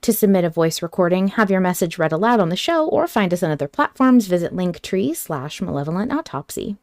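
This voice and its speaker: A woman hosting a fan podcast, chatting casually in an animated, joking and sweary manner. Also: fairly low pitch, a little fast. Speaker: podcast host.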